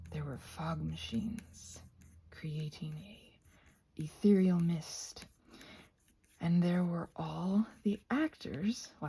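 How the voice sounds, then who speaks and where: A woman rambling casually and effusively in a soft ASMR style, often slipping into whispers as she thinks aloud while crafting. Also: medium pitch, vocal fry, breathy edge.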